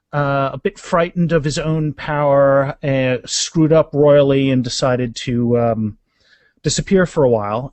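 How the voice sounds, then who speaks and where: A man's voice: medium pitch, a little mellow, no room sound. A man of about fifty chatting casually and enthusiastically as a guest author in a podcast interview, rambling as he goes.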